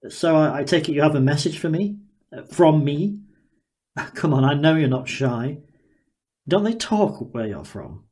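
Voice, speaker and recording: A man voicing an excitable, sneering, slightly unhinged inventor in audiobook dialogue, taunting and full of questions. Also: fairly high pitch, unhurried. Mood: taunting, eager.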